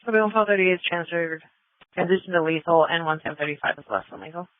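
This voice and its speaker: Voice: medium pitch, quick pace, no room sound, narrow, tinny audio. A police dispatcher on a scanner radio, relaying and repeating messages in a clipped, businesslike way with radio codes. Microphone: two-way radio.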